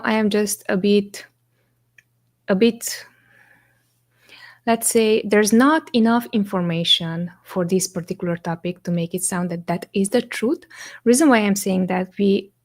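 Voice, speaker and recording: A young woman from Romania, a native Romanian speaker, hosts a live question-and-answer stream, talking in English at a middle pitch for a woman. She explains each point step by step in a relaxed, conversational way. She often pauses on "um" and checks in with "right?". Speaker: agency co-founder hosting a live Q&A.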